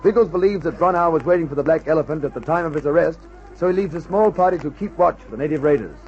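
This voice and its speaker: A man's voice: fairly high pitch, fast talker, darkish tone, faint music behind, close to an everyday voice. A man acting as the serious narrator of an old radio adventure serial, reading a dramatic script. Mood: suspenseful. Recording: dull old radio recording.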